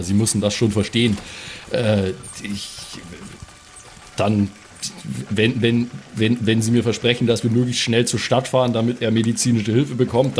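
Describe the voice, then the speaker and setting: A man playing a flustered, protective gentleman guardian, formal and stammering, in an improvised fantasy audio play. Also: on the low side, slight background noise, lightly acted.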